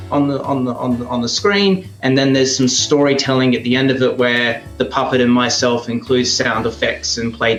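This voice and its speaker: A man chatting casually as an interview guest, often pausing mid-thought as he explains. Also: mid-range voice. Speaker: interview guest, radio play performer. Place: indoors at a heritage house. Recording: roomy echoing sound.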